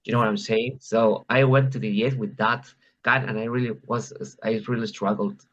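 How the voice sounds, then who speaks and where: A man's voice: on the low side, steady tone, normal speed, a bit bright. Peruvian Spanish-accented man, lo-fi sound. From Peru. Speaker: club member. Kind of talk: casual conversation practice.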